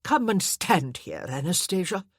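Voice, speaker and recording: A woman voicing an imperious elderly dowager countess who speaks bluntly and deliberately. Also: fairly low pitch, sing-song, slightly rough, dry recording, clean studio sound, full character voice.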